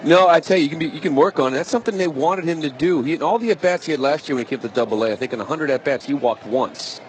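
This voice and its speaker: A man's voice: a little high, fast talker. A man giving conversational live analysis as a baseball color commentator. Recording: faint outdoor ballpark noise. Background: outdoor stadium ambience.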